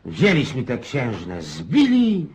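An actor playing a garrulous, cynical old Cossack in a radio play, rough-edged and folksy, prodding his listener with questions. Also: medium pitch, wildly swooping pitch, slow, a little mellow, slight background noise, exaggerated voice. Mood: musing, reminiscing.

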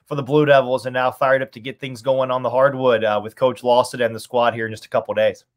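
Man, thirties, medium pitch, conversational sports commentator, podcast guest, home video call. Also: level tone, quick pace. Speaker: podcast guest, radio play-by-play announcer. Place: small home room, indoors.

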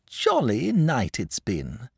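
Man voicing a jolly, lazy storybook dragon, lively drawl. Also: mid-range voice, unhurried, creaky, dry recording, clean studio sound, voice pushed up, exaggerated voice. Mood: drowsy, contented. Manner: drawling, murmuring, blustery. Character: lazy, jovial, self-satisfied sleepy male dragon. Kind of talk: storybook character voice.

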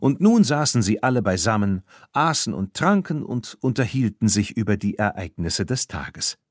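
Low-pitched man narrating with lively range. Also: moderate pace, dry recording, clean studio sound. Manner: expressive storytelling with voiced dialogue. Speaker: audiobook narrator. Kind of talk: scripted narration of a children's story.

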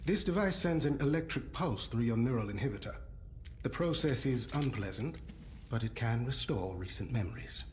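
An actor playing a cerebral weapons and technology specialist in a video game, precise, formal and matter-of-fact. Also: male voice, mid-range voice, animated, moderate pace, darkish tone, slight background noise, lightly acted. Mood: clinical. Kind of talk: video game cutscene acting.

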